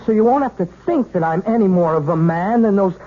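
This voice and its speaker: An intense young student in an old radio play. He speaks haltingly and earnestly, with very lively pitch and slightly blurred, husky clarity. The sound is tinny and narrow. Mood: pleading, hurt. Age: young adult. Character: earnest, lovestruck twenty-year-old male piano student. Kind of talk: 1970s radio drama acting.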